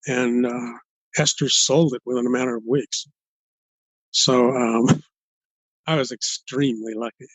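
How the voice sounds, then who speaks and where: Older man, husky voice, thoughtful and halting. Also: mid-range voice, fairly even intonation, dry recording, poor audio. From United States, Los Angeles. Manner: halting, thoughtful, builds points step by step. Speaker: guest author. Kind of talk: interview conversation.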